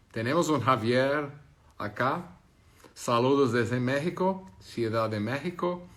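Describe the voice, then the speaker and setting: A man from Brazil speaking with a Brazilian Portuguese accent, chatting casually on a live stream as he reads viewer comments. He talks at an unhurried, slow pace and often stops to restart and search for the right word. His pitch sits in a middle range. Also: fairly bright tone. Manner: halting, searching for words, many restarts.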